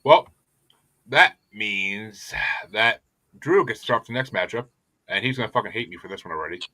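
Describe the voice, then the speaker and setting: A male podcast host with a bright, forceful voice recorded dry and close. He talks casually and emphatically, swearing often and circling back over his own phrases. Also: lowish voice, expressive, normal speed, no echo. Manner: profane, emphatic, repetitive. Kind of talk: casual opinionated music podcast chat.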